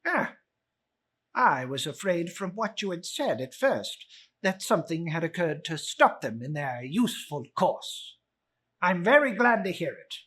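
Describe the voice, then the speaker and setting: Man acting cold old miser, storybook villain, curt, scornful, husky voice, animated raised pitch. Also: a little slow, exaggerated voice. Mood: cold, sarcastic.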